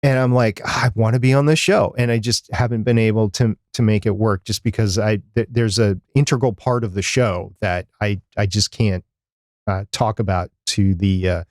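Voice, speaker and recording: A man's voice: on the low side, fairly even intonation, moderate pace, darkish tone, no echo, studio quality. A man co-hosting a podcast in casual, chatty conversation, repeating phrases for emphasis. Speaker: podcast co-host. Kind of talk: casual chat about podcasting.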